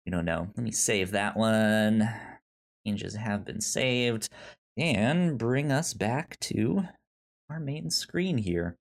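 Podcast co-host, male-range voice, unhurried with animated pitch, repeats words and stumbles on syllables in casual review chat. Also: creaky.

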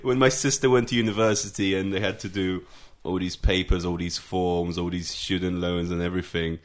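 A man in casual chat, telling animated stories, laughing often and mimicking other people. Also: low voice, brisk.

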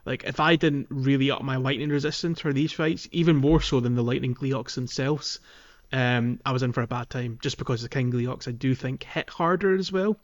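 Guy doing relaxed podcast chat about games, mid-pitched, kinda lo-fi sound. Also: moderate pace, hardly any pauses. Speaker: podcast host. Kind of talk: casual gaming podcast chat. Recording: dull, lo-fi voice recording.

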